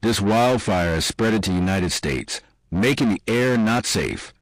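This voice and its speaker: A husky-voiced man reading scripted emergency alert announcements. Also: on the low side, expressive, unhurried, no room sound, rough recording.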